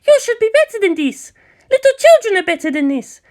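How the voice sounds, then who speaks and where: A woman's voice: very high-pitched, very animated, brisk, a little mellow, voice pushed up. A woman voicing a stern, blunt female monk teacher in a storybook reading. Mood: stern, suspicious.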